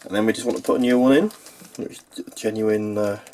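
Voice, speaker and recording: A man's voice: on the low side, steady tone, quick pace, mellow. A man narrating an informal how-to as a repair technician, speaking matter-of-factly while he works with his hands. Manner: matter-of-fact, talking while working with hands. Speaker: repair technician and video host. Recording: clearly noisy recording with clicks.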